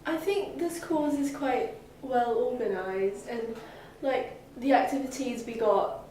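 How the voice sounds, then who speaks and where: A teenage girl from Hong Kong answers interview questions, pausing and filling gaps as she thinks. Her voice sits slightly high. The sound is distant and very echoey, with faint background noise. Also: mellow. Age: teens. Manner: hesitant, many "like" fillers. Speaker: summer school student.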